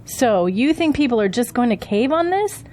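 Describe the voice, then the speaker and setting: A woman's voice: fairly high pitch, big pitch swings, normal speed, warm tone. A woman playing an indignant, loyal political campaign aide in an audio drama, defensive and argumentative. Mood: indignant, protective.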